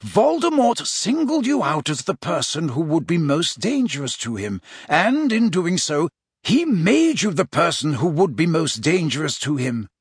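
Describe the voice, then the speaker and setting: A man's voice: on the high side, very animated, a little slow, slightly rough, no room sound, studio quality, full character voice. A man voicing an old, wise headmaster wizard in an audiobook, speaking formally and emphatically as an earnest, urgent mentor. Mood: earnest, measured.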